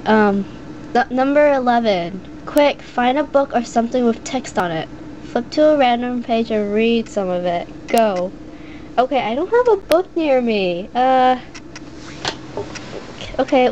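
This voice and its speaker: High-voiced shy teen girl, hesitant. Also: darkish tone, dull audio, a little hiss or hum. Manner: hesitant, many fillers and restarts. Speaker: vlogger answering a voice meme. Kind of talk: casual chat and halting reading aloud.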